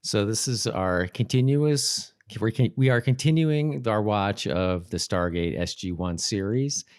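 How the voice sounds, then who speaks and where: Smooth, lowish-voiced male podcast host. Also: unhurried. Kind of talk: casual conversational episode commentary.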